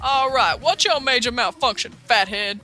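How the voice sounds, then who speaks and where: A woman voicing a loud, abusive male schoolteacher in an anime parody, barking insults like a drill sergeant. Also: a little high, moderate pace, faint music behind. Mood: abusive, gruff. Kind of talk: comedic visual novel parody dub.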